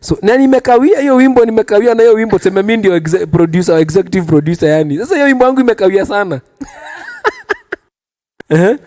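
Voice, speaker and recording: Animated Kenyan man hosting a talk. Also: high voice, quick pace. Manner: animated, teasing questioning, switching between languages. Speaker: talk show host. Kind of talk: casual panel chat.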